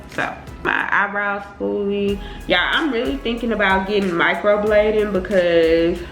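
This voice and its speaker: A woman's voice: mid-range voice, bright, forceful tone, roomy sound. A young woman chatting casually to her viewers in informal slang, sounding congested from a cold. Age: young adult. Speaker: beauty vlogger. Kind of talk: casual chatty get-ready-with-me talk.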